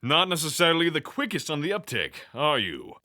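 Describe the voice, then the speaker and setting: A flamboyant fire and war god in a fantasy audio drama. His high, bright voice swings extravagantly up and down. He sounds haughty and theatrical. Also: sing-song, fairly quick. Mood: mocking. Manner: theatrical, condescending.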